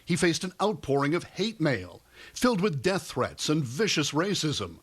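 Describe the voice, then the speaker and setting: Guy doing a scripted tv news voiceover, pitch moves around a lot. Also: fairly bright tone, breathy edge. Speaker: TV news reporter.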